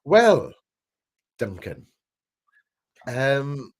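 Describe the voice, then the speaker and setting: A man's voice: medium pitch, big pitch swings, drawn-out words. A man co-hosting a casual chat show, teasing his partner with stammering repeats. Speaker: co-host.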